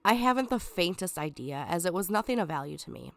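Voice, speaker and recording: Woman reading a lion villain, scheming, condescending, sly whispered asides, stuffed up, lowish voice, smooth tone. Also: lively intonation, fast talker, no echo. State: stuffed up from a cold. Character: scheming, sarcastic male lion villain, self-proclaimed king.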